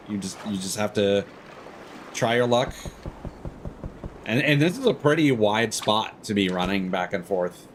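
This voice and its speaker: A man's voice: lowish voice, slight background noise. A man hosting a game livestream, giving rambling, opinionated explanations in a casual, chatty way.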